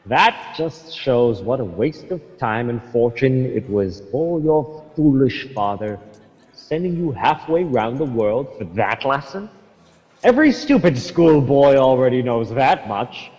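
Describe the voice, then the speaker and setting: An actor playing an arrogant, easily offended priest, grumbling with contempt in a radio drama. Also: male voice, mid-range voice, very animated, slow, a little mellow, lo-fi sound. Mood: scornful, indignant. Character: arrogant, scornful, easily offended Spanish-era friar priest. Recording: long hall reverb, music underneath.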